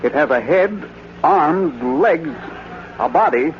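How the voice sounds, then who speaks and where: A plain-spoken newsroom man with a slightly high, punchy voice. He talks in old radio drama style. The recording is dull, with faint noise. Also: animated, takes it slowly. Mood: dramatic. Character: skeptical male newspaperman, plain-spoken colleague. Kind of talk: 1940s-50s radio drama acting. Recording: dull, lightly noisy vintage radio recording.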